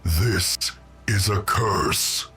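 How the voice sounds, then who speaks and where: A man's voice: low voice, extremely slow, sharp tone, soft background music, voice pushed up, exaggerated voice. A man voicing an anguished, defiant armored war-machine warrior in an audiobook, with a distorted growl and a pleading, straining delivery. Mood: bitter anguish.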